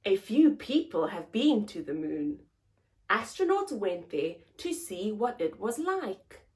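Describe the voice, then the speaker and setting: A woman's voice: medium pitch, big pitch swings, takes it slowly, a little mellow. A woman hosting a story time, reading aloud expressively to young children in simple phrases and putting questions to them.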